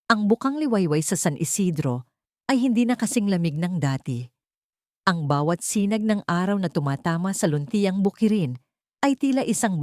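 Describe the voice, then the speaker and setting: A woman tells a dramatic story in a somewhat low voice. Her phrasing is measured and sentimental, like a scripted audio drama. The sound is very dry, with no room on it. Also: darkish tone, no echo.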